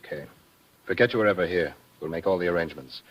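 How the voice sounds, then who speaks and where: Husky, deep shifty travel agent. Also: male voice, fast talker, highs cut off. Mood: cagey, brisk. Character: shifty, cagey male travel agent fronting smugglers. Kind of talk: 1950s radio drama acting.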